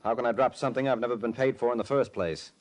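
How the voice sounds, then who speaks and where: An actor playing a cocky, wisecracking private detective with an American accent in an old-time radio drama, slangy and pushy. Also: male voice, medium pitch, steady tone, fast, a little mellow, lightly acted. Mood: cocky, pressing.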